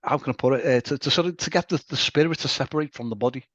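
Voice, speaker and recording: Brisk male interviewer, fast talker, dry lo-fi sound. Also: mid-range voice, fairly bright tone, dry recording. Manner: brisk, with quick short acknowledgements. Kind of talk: casual interview.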